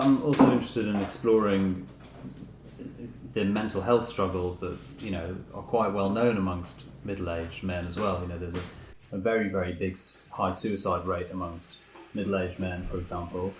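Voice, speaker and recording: A man with a fairly low voice talks reflectively in a conversational interview, softening his statements as he goes. The room is echoey, with faint background noise. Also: normal speed. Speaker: interviewed author. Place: indoor room with echo.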